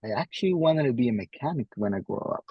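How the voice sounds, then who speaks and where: A man from Guatemala with a Guatemalan Spanish accent, chatting casually as an interview guest and often repeating his phrases haltingly. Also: medium pitch, expressive, husky, no echo, lo-fi sound. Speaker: podcast guest, tradesman.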